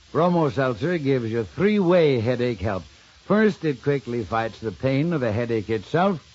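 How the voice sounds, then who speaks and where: A man reading a folksy, emphatic sales pitch as a 1950s radio announcer. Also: husky. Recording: dull old 1950s radio recording.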